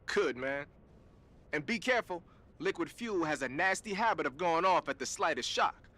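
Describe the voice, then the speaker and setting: A man's voice: a little high, expressive, normal speed, pushed and bright. An actor playing a cool, slangy male weapons expert who briefs casually in a video game. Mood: breezy, instructive. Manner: casual, streetwise, chatty.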